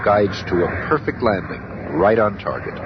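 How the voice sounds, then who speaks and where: A man's voice: fairly low pitch, big pitch swings, normal speed. A man narrating a scripted superhero radio drama with grandiose, punchy showmanship. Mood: dramatic. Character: dramatic, grandiose comic-book storyteller. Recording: dull lo-fi radio recording, loud effects noise.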